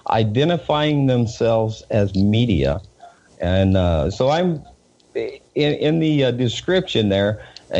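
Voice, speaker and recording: A man with a Southern US accent, a reporter being interviewed, talking in a halting way with many fillers and repeated words. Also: on the low side, mellow, dull audio. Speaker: independent news reporter, guest. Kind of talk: casual interview talk.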